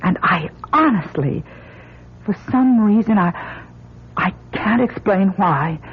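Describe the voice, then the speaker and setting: An actress playing an anxious, devoted woman astronaut in a radio drama, earnest and pleading, with a husky voice. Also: medium pitch, lively intonation, slow, exaggerated voice. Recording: muffled radio drama recording.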